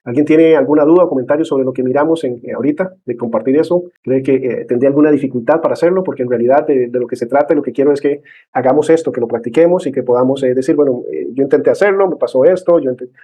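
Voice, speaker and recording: Fast-talking man teaching a church class. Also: mid-range voice, warm tone. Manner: conversational, many fillers and tag questions. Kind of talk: informal church class lecture.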